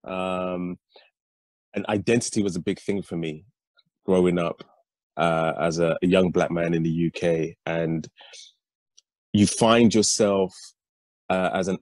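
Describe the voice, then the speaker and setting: Low-voiced British man, deep and steady. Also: no room sound. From United Kingdom and Nigeria. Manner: reflective, steady storytelling with frequent ums. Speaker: guest, rapper and educator. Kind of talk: relaxed interview talk.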